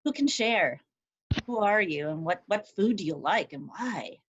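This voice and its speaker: A woman's voice: mid-range voice, lively intonation, unhurried, dull audio, background noise. A woman leading a video-call group discussion, encouraging people and asking lots of questions. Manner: encouraging, asks many questions. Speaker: workshop facilitator.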